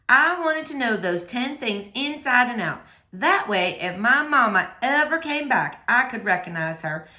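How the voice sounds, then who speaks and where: A woman narrates a children's novel in a bright, clearly projected voice. Her delivery is lively and expressive, and the sound is roomy with some echo. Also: fairly high pitch. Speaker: read-aloud storyteller.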